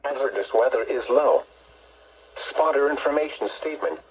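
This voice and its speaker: A man's voice: mid-range voice, moderate pace, slightly rough, muffled recording. A man's voice reading a formal, scripted weather forecast as an announcer, heard through a radio speaker. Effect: played through a radio speaker. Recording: noisy weather radio, picked up in room.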